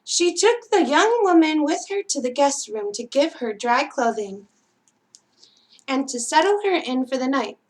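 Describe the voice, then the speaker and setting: A woman reads a children's tale aloud in a fairly high voice. She narrates in the style of a library storytime, telling the story as written. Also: sing-song, unhurried, low-quality recording.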